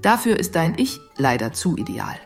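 A woman acting as a mystical female dream interpreter in a radio play, with swooping, lively pitch. She is domineering and talks down to her client in a teasing way, with music in the recording. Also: moderate pace, creaky, exaggerated voice. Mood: condescending. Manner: condescending, teasing. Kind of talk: German audio drama dialogue.

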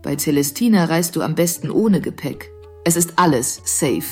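An actress playing a worldly, flirtatious madame who reads dreams, teasing and in control, in a radio play. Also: female voice, sits low, expressive, moderate pace, a little mellow, slightly rough, faint music behind. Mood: coaxing. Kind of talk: audio drama dialogue.

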